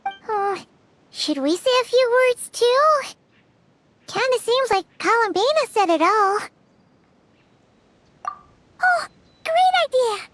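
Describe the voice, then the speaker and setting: A woman voicing a small, squeaky, childlike and eager companion in a video game. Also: very animated, takes it slowly, long pauses, fairly bright tone, no room sound, full character voice. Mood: hesitant, eager. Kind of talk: video game story acting.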